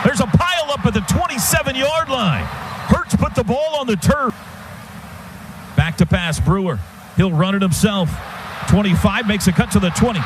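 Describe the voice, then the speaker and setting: A male sports announcer with a high voice gives a running radio call of a football game. His phrases are clipped and urgent, following each move as it happens. Steady noise sits behind him on the live broadcast.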